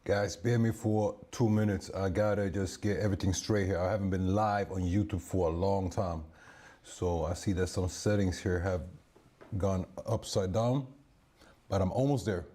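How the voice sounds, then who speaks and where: A man's voice: low-pitched, moderate pace. A man with a strong voice casually hosting a live stream, greeting viewers like friends. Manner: relaxed, addresses viewers as bro and my people. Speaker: livestream host.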